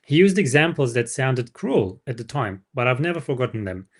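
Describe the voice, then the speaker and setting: Male book reader for a livestream, medium pitch, narration with informal asides. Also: very animated, moderate pace, slightly rough. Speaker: livestream host reading a book aloud.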